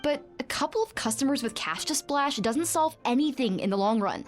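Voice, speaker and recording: A woman's voice: high-pitched, expressive, fairly quick. Blunt, grumpy spirit lady. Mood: dry. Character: lazy, blunt, sharp-tongued female bathhouse spirit. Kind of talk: anime-style video game acting. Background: background music.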